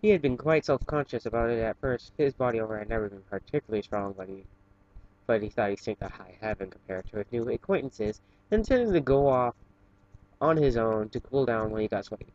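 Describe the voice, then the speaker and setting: A man's voice: medium pitch, lively intonation, a little fast, a little mellow, dry recording. A man reading a story aloud as an amateur, informally, sometimes stumbling over words. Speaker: fan fiction reader for an online channel. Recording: dull, lo-fi home recording.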